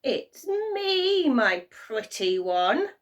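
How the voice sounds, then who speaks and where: A woman's voice: high voice, big pitch swings, drawn-out words, recorded from a distance, pitched above the performer's own voice, heavily acted. A woman voicing a sly, taunting little magical man from a children's storybook, sneering and cackling with glee. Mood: sly, menacing.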